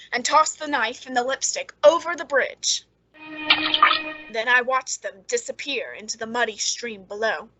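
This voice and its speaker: Woman acting a high, bright, cold murderess. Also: dry recording, heavily acted, rough recording. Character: cold, gleeful murderess narrating her crime. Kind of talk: radio suspense drama narration and dialogue.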